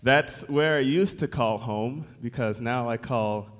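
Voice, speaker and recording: A man's voice: mid-range voice, measured pace. An American man in his twenties, a youth pastor, speaking informally to a congregation in an upbeat, chatty, grateful way. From United States. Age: 20s. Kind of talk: informal self-introduction to a congregation. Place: large church hall. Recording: long hall reverb from church sound system.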